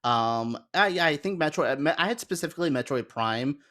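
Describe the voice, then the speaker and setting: A man's voice: a little high, expressive, brisk, bright, forceful tone. Casual, enthusiastic man chatting. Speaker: podcast panelist. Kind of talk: casual chat.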